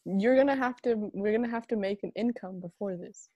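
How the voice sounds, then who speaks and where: Young woman, about twenty, casual podcast co-host; mellow tone, dry close mic. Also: medium pitch, no echo, dull audio. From United States. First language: Punjabi. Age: 20s. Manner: chatty, quick, laughing often. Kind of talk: casual chat.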